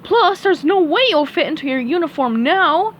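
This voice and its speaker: A woman voicing a gruff middle-aged male crab boss who shouts his orders. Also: sits high, expressive, normal speed, a little hiss or hum, voice pushed up. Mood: stern.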